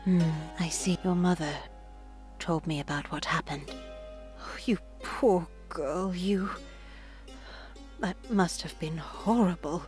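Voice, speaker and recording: A woman acts out an older convent sister in a visual novel, pious and comforting. The voice is fairly low and very unhurried, with hushed, breathy, solemn phrasing. Music plays underneath. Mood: gentle, sympathetic. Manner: measured, hushed, breathy delivery. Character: gentle, pious older nun, solemn and reassuring. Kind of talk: visual novel dialogue read aloud.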